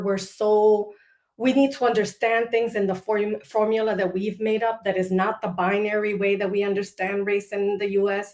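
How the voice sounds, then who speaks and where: A woman host with a mid-pitched voice who speaks thoughtfully and sometimes pauses to find her words. Her voice carries a roomy echo. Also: a little mellow. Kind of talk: discussion.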